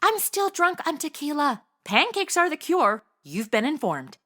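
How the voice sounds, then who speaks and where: A woman's voice: sits high, sing-song, a little slow, noisy background. A woman acting as a sassy, flippant modern young woman in a comedy audio drama, sarcastic and exclamatory.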